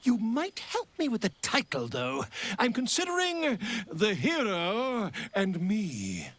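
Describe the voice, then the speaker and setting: Video game schemer: charming self-promoter, breathy-rough tone, animated, wheedling, theatrical. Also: male voice, sits high, slow pace, full character voice. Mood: sly, chummy. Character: flamboyant, self-promoting, slyly charming writer and old friend. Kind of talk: video game character acting.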